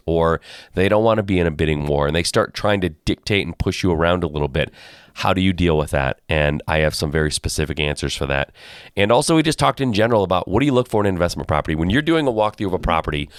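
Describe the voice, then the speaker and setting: A man hosting a podcast who is under the weather, talking in a chatty, energetic way in a live Q&A. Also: sits low, clear, resonant tone, no room sound, clean studio sound. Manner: energetic, chatty, repeats phrases. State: under the weather, voice deeper than usual. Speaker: podcast host. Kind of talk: casual live Q&A talk.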